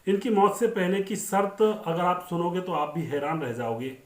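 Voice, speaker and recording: Echoey recording, man, slightly high voice, informal storyteller narration, talking straight to viewers, repeats points for emphasis. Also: expressive, moderate pace. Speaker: narrator of film-star biography videos. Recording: roomy, echoing voice-over.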